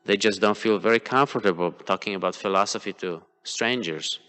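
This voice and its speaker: A man's voice: fairly low pitch, a little fast, a bit bright, clean tone. Hesitant man speaking in a reverberant hall. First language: Polish. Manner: hesitant, many fillers and restarts. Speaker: temple class speaker. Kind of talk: devotional class talk, personal examples. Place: temple hall.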